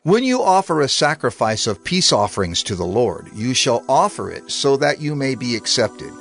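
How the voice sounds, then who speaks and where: A male narrator of mid pitch reads scripture, his pitch rising and falling freely. A clear music bed runs under his voice throughout. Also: big pitch swings, moderate pace, fairly bright tone.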